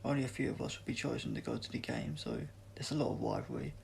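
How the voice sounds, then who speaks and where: A man's voice: mid-range voice, wildly swooping pitch, fast, creaky, a little mellow, a little hiss or hum, heavily acted. A man voicing an anxious, evasive young male athlete in an audiobook, earnest and uneasy. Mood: confiding. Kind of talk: audiobook character dialogue.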